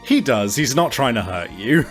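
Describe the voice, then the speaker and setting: A man's voice: medium pitch, very animated, moderate pace. A man improvising tabletop game narration as game master in a casual, sweary, conversational way. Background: faint background music.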